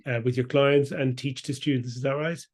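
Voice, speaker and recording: A man hosting a podcast interview, chatting casually and warmly with his guest, often restarting his sentences. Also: mid-range voice, steady tone, a little fast. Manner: friendly, chatty, with frequent restarts. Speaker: podcast host.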